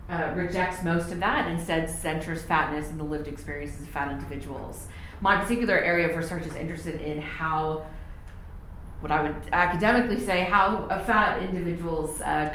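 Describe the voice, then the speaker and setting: Woman, forties, academic lecturer; low voice, very echoey hall, faint hiss. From Texas, United States. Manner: measured, frequent ums and restarts. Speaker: visiting university lecturer. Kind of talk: academic talk. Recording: very echoey room, faint noise.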